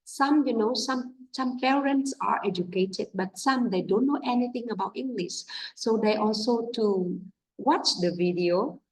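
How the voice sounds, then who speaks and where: A husky-voiced woman teacher whose first language is Vietnamese explains her methods to other teachers at an unhurried pace, pausing often on fillers. Her non-native English comes across steady and informal. She sits some distance from the microphone. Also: a little high. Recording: distant from the mic.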